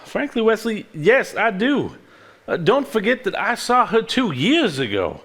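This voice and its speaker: A man's voice: high voice, huge pitch swings, unhurried, fairly bright tone, slightly rough, exaggerated voice. An actor on stage playing an earnest, level-headed married man who speaks seriously. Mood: earnest, troubled. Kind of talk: live stage play acting, reading aloud from a journal.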